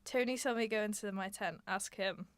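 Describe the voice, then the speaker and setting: A coy circus acrobat, played by a woman who flirts and dodges questions in a radio drama. Her voice is medium in pitch, recorded close and dry. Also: normal speed, clean tone, no echo, lightly acted. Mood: coy, defensive. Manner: coy, evasive. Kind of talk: amateur radio drama acting.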